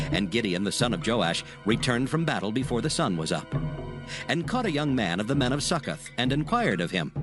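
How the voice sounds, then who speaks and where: A male narrator of dramatized scripture with a mid-pitched voice. His solemn, measured storytelling rises and falls expressively, with music clearly playing beneath it.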